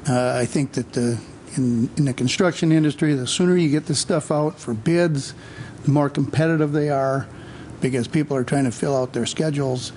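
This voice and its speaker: Measured male councilmember, hesitant delivery. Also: mid-range voice, fairly even intonation, darkish tone, slight background noise. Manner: measured, hesitant with frequent fillers. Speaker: city councilmember. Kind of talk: council meeting remarks. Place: city council chamber.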